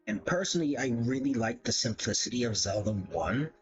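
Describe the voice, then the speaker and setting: A man's voice: medium pitch, expressive, a little fast. Man, casual livestream host, hesitant, self-correcting, mid-range voice, lo-fi audio, faint background music. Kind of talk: casual livestream commentary, ranking game characters. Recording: dull lo-fi mic, music behind.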